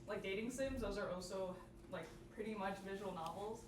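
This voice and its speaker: A woman's voice: mid-range voice, fairly quick. A young woman giving an informal workshop presentation in a casual manner, sprinkling "like" through her sentences. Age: young adult. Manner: casual, peppered with "like". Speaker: student club workshop presenter. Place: indoor workshop room with audience. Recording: echoey room, distant mic, noisy background.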